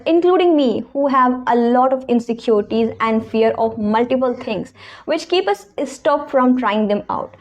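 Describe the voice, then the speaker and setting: High-voiced woman with an Indian accent. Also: a little mellow. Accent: Indian English. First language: Hindi. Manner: earnest, encouraging, personal. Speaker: English teacher. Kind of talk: YouTube speaking lesson. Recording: slightly dull, lo-fi sound.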